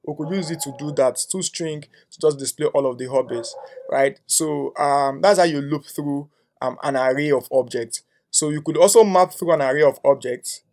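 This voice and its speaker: A man's voice: fairly high pitch, moderate pace, darkish tone. A young man teaching a coding tutorial, thinking aloud as he types, with frequent "um"s and a tag "right?". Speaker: coding instructor. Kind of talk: screen-share coding tutorial.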